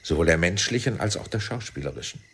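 A man acting a shrewd, persuasive intelligence team leader in a German radio play, speaking deliberately and insistently. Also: sits low, lively intonation, fairly bright tone, breathy edge, exaggerated voice. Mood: smooth, persuasive.

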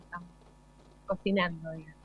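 A woman's voice: fairly low pitch, steady tone, brisk, dry recording. An Argentine woman speaking as an academic guest in an unscripted interview. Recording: slightly muffled live radio sound.

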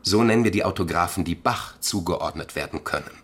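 An actor playing a pompous, wordy museum scholar in a German radio drama, speaking in circumlocutions with self-importance. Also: male voice, sits low, fairly quick, fairly bright tone, breathy edge, heavily acted. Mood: self-satisfied.

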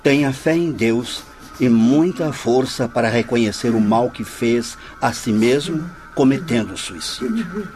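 A man's voice: medium pitch, animated, unhurried, darkish tone, lightly acted. An actor playing a compassionate, solemn spiritist leader in a radio drama, speaking like a fatherly preacher. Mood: gentle reproof. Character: compassionate, solemn male spiritist leader consoling lost souls. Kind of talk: radio drama acting, prayerful oratory. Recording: music behind the voice.

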